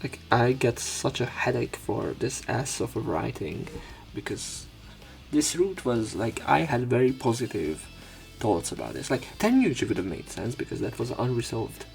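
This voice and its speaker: A man's voice: mid-range voice, big pitch swings, moderate pace, creaky, soft background music. A man reading game narration aloud as a let's player, breaking into irreverent, casual commentary. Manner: irreverent, crude asides.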